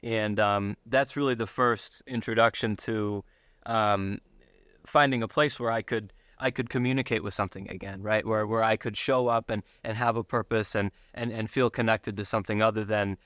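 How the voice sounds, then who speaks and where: American man speaking haltingly, crisp and dry. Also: fairly low pitch, steady tone, fairly bright tone, no echo. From Buffalo, United States. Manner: halting, repeats words, seeks agreement with tag questions. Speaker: interview guest. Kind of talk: personal testimony in casual conversation.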